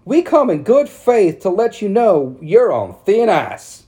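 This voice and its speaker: A man doing a shrill, preachy townswoman. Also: sing-song, unhurried, mellow. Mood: stern lecturing. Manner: lecturing, falsetto. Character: stern, pushy middle-aged townswoman, preachy.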